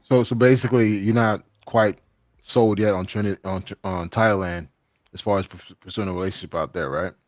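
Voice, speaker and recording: A man's voice: on the low side, fairly even intonation, normal speed, no echo. A man hosting a podcast, asking curious, probing questions. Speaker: podcast host. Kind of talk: podcast interviewing.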